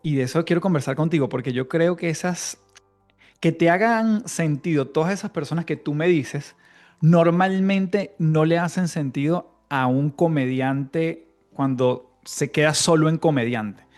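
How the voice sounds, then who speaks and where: Brief: male podcast host, somewhat high pitch, relaxed, searching questions. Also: a little slow, faint music behind. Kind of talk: casual interview.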